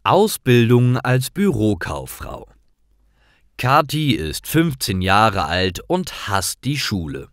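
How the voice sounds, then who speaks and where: A man reading scripted stories for language learners as narrator, in simple, clearly phrased storytelling. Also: medium pitch, big pitch swings, slow pace, fairly bright tone, no room sound, studio quality.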